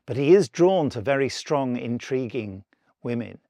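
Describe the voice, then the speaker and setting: A man's voice: mid-range voice, animated, measured pace, mellow, no echo. A man chatting enthusiastically in an interview as someone who makes audio dramas. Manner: enthusiastic, acts out imagined quotes. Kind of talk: interview chat.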